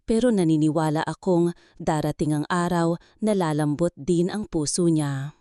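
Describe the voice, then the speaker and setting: Woman reading as a gentle, mellow older grandfather. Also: sits low, no echo, clean studio sound, close to an everyday voice. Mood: earnest, reassuring. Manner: soft-spoken, measured. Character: gentle, reassuring older husband and grandfather, a wealthy don.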